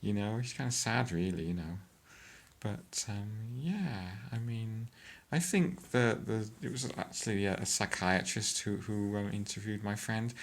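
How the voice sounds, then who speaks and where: A woman, chatting hesitantly in a casual vlog update, with many fillers and frequent throat-clearing. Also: a little slow. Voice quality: deep voice. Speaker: vlogger.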